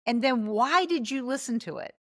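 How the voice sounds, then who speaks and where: A woman's voice: sits high, expressive, moderate pace, a bit bright. A woman hosting a podcast interview over a video call, enthusiastic and prompting her guest with questions. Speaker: podcast host and coach. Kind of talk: casual interview talk.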